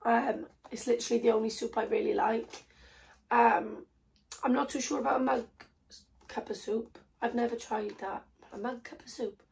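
A young woman with a hoarse, croaky voice from a sore throat, chatting casually through a haul video in a small bedroom, rambling with lots of ums and self-corrections. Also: on the high side, steady tone, normal speed, darkish tone, slight background noise. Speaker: vlogger.

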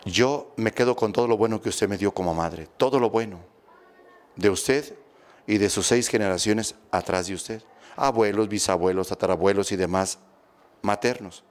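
A Mexican man in his fifties, a priest, giving a homily in a steady, instructive way, repeating phrases for emphasis, into a close handheld microphone. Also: lowish voice. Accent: Mexican Spanish. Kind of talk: sermon on family healing. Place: small church room with statues, indoors. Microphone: handheld mic close to mouth.

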